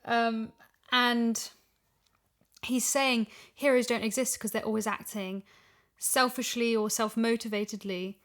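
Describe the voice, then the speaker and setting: A woman's voice: a little high, steady tone, measured pace, a bit bright. A woman in a casual podcast discussion, reasoning through her points conversationally. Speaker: podcast guest, audio drama voice actor.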